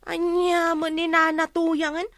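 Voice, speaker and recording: A woman's voice: very high-pitched, monotone, unhurried, fairly bright tone, no echo, clean studio sound, full character voice. A woman with an Ilocano accent playing a chatty, loyal village neighbour in a radio comedy drama. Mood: chatty, defensive.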